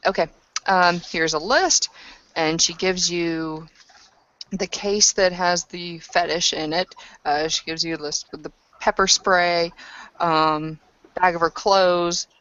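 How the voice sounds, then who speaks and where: A woman with a fairly low voice narrates and plays out a tabletop roleplaying scene. She pauses and feels her way through the story. The audio is somewhat dull and lo-fi. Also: fairly even intonation, a bit bright, clear, resonant tone. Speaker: game master and player narrating a tabletop roleplaying session.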